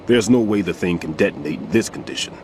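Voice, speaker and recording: An actor playing an aging, retired bomb disposal expert in a video game, a weary mentor who explains things in a measured, authoritative way. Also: male voice, deep voice, expressive, background noise. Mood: instructive. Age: older.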